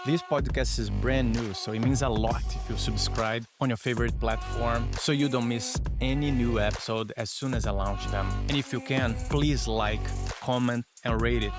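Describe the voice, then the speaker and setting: Man, podcast host, measured delivery, mid-pitched, music bed underneath. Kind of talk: interview podcast with scripted promo.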